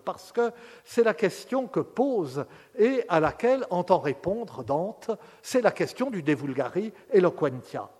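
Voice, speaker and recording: Male academic lecturer, slightly high voice, measured delivery with hesitations, long hall reverb. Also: darkish tone.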